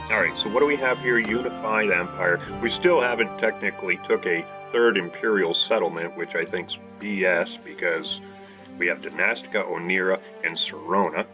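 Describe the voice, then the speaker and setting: Low-voiced man narrating his gameplay. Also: lo-fi sound. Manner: rambling, addresses viewers as guys, frequent uh fillers. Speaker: gaming channel host. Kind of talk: casual gameplay commentary. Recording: game music playing behind.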